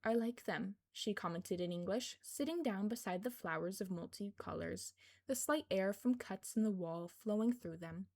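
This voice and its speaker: A woman's voice: mid-range voice, animated, moderate pace, darkish tone. A woman reading scripted fiction aloud as narrator of a fan fiction podfic.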